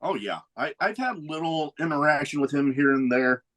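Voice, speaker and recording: A man hosting a podcast, chatting in a relaxed, rambling way and often losing his train of thought. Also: a little high, normal speed. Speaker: podcast host. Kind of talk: casual chat.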